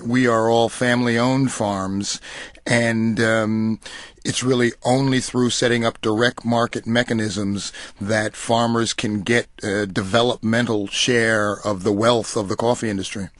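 A middle-aged American man from New York City, giving thoughtful, deliberate interview answers with pauses mid-phrase. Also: on the low side, a bit bright, no echo. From New York City, United States. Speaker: interview guest, coffee cooperative marketing director. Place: radio studio.

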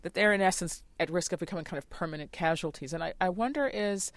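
A woman's voice: fairly low pitch, quick pace, no room sound. A woman hosting a conversational news interview, probing and thinking aloud as she forms her questions. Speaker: interviewer, host.

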